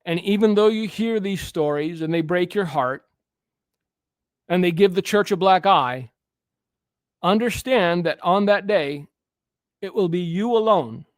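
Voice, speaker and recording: Guy with a high voice preaching earnestly on a radio show, stumbles and restarts a lot, dry close mic. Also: animated, unhurried, pauses often, no room sound. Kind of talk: preaching commentary.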